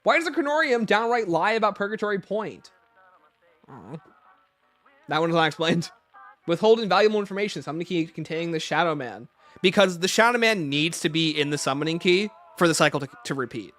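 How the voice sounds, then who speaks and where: A man's voice: fairly high pitch, lively intonation, a little fast, fairly bright tone, faint music behind. A man hosting a livestream, explaining and answering viewer questions off the cuff. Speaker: livestream host.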